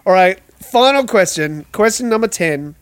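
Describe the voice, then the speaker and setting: A man's voice: high voice, animated, normal speed, noisy background. A man hosting a podcast quiz, reading out questions and confirming answers in a friendly, lightly teasing way. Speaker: quiz host.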